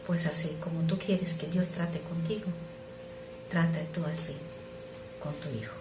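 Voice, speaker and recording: A middle-aged woman who is not a native speaker, preaching an earnest, measured sermon that sometimes drops to soft asides. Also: sits low, fairly even intonation. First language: not Spanish. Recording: echoey room with noise.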